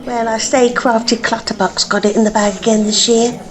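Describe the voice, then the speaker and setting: A woman's voice: on the high side, little pitch movement, moderate pace, lightly acted, rough recording. A woman playing a catty, mocking village gossip in a comic radio drama. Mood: catty, dry.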